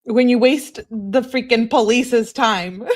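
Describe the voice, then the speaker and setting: A woman's voice: fairly high pitch, slow. A woman giving casual, sarcastic live commentary as she reacts to a video. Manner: sarcastic, informal. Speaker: livestream commentator.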